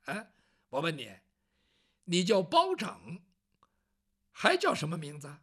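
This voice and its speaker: Brief: a storyteller plays a gabby, fussy old eunuch, pitch swooping everywhere. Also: huge pitch swings, slow pace, a bit bright, husky, full character voice. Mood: chatty. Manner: talkative, nagging, teasing. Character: chatty, fussy palace eunuch, older man. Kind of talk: traditional Chinese storytelling.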